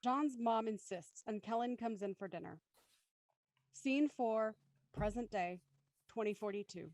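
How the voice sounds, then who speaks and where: A woman narrates a scripted drama, reading out scene headings and stage directions. Her voice is fairly high. The recording is dry and close, with hardly any room. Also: unhurried, a little mellow, no echo. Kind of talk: scripted narration for an audio play.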